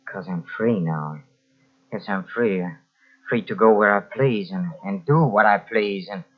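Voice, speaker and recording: An actor playing a tormented, guilt-ridden poor writer under suspicion, agitated and halting, in old-time radio drama style. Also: male voice, fairly low pitch. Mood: anguished, haunted. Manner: agitated, halting, evasive, by turns sarcastic. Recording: dull lo-fi old radio cassette transfer.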